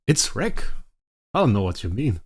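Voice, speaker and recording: A young man, a native German speaker, casually thinking aloud as he streams a game, his sentences often trailing off quietly. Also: lowish voice, sing-song, normal speed, husky. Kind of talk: casual livestream commentary.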